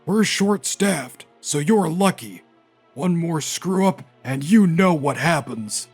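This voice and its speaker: An actor playing a furious, scolding company president in a manga dub. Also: male voice, a little high, lively intonation, slow pace, slightly rough, soft background music, exaggerated voice. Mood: angry, scolding.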